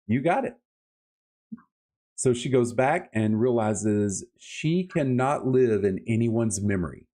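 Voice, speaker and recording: A man's voice: medium pitch, lively intonation, slow, mellow. A man chatting casually and emphatically about books as a podcast host, with enthusiastic, colloquial delivery. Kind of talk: casual book-review chat.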